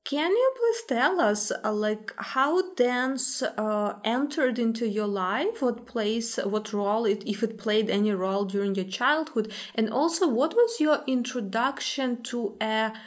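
A woman's voice: on the high side, measured pace, few pauses. A woman interviewer asking long questions with many hesitant fillers.

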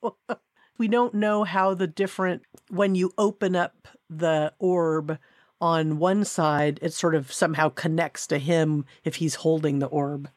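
A woman's voice: deep voice, fairly even intonation, unhurried, no room sound, clean studio sound. A woman hosting a podcast, chatting casually about a film. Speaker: podcast host.

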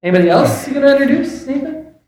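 A man's voice: very high voice, big pitch swings, fast, darkish tone, slight background noise. A man trading banter as co-host of a live comedy variety show. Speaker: podcast co-host. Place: live stage at a comic shop. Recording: echoey live venue.

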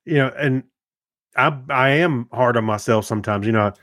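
A man's voice: mid-range voice, normal speed, fairly bright tone, no room sound. A middle-aged man co-hosting a podcast in casual chat, talking in a rambling way with many restarts and fillers. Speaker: podcast co-host.

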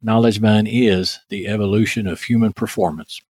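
A man's voice: lowish voice, fairly even intonation, normal speed, darkish tone, slightly rough, dry recording. Male podcast host, Texan accent, casual and folksy, dry recording. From Texas, United States. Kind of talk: conversational interview with scripted sponsor read.

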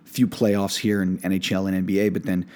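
Upbeat lower-voiced male podcast host. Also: flat delivery, quick pace, mellow. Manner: loose and upbeat, many fillers and restarts. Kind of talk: casual sports betting podcast chat.

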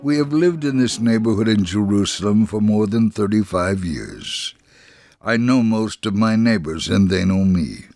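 An actor playing a kindly older Jerusalem man recounting his life in a measured, first-person dramatic reading. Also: on the low side, lively intonation, a little mellow, soft background music. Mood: gentle storytelling.

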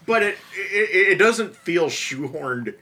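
A man's voice: high voice, animated, slow pace, sharp tone. A man chatting informally and enthusiastically as a podcast panelist. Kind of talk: casual chat.

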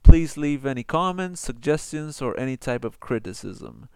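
A man's voice: mid-range voice, lively intonation, vocal fry, clear, resonant tone, no room sound. A man teaching an online English lesson, explaining patiently with many fillers. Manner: switches between Spanish and English, explains slowly with fillers.

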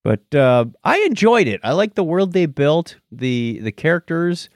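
A man co-hosting a podcast in casual conversation, animated, often stammering and restarting his phrases. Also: fairly high pitch, measured pace, dry recording, clean studio sound. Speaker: podcast co-host.

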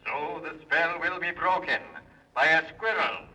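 A magic mirror spirit intones its rhymed prophecies slowly and solemnly. The voice is very sharp and a little high, set back from the mic in an old 1930s radio recording. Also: male voice, a little hiss or hum. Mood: solemn, foretelling. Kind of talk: 1930s children's radio serial acting. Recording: distant-sounding vintage 1930s radio recording.

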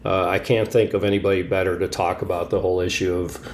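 A man in his sixties, from Papua, hosting a podcast in a relaxed, rambling way with many pauses and restarts. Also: deep voice, slight background noise. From Papua, Indonesia. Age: 60s. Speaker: podcast host. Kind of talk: casual interview chat.

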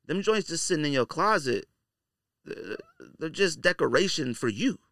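A man's voice: a little high, animated, normal speed, a bit bright, no echo, studio quality. A man hosting a casual, off-the-cuff podcast monologue, talking in a slangy, blunt, conversational way. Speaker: sneaker podcast host.

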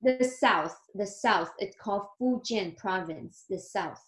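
A woman in her thirties with a Chinese accent tells her story as a guest on a video call. She sounds earnest and grateful, speaking gently with small slips in her English. The sound is echoey, as if she is in a bare room. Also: mid-range voice, takes it slowly. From China. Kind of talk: interview, telling her life story.

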